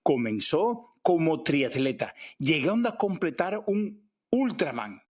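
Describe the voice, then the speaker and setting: A man's voice: a little high, very animated, slow pace, darkish tone. A man hosting a podcast interview, introducing a guest in an enthusiastic, effusive way. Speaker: podcast host.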